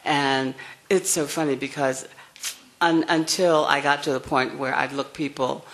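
An older woman with a soft voice, speaking frankly and wryly on a discussion panel. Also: low-pitched, steady tone, unhurried. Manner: frank, wry, chuckling asides.

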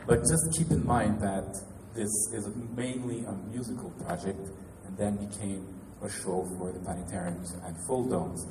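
A pianist and composer from Quebec with a Québécois French accent, introducing his show in a halting, casual way with restarts. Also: on the low side. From Quebec, Canada. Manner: halting, with restarts and light laughs. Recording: echoey hall from far off, noisy.

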